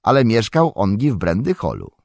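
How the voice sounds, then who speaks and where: A man's voice: lowish voice, wildly swooping pitch, brisk, vocal fry, no room sound, studio quality, voice pushed up, exaggerated voice. A man doing a polite young hobbit.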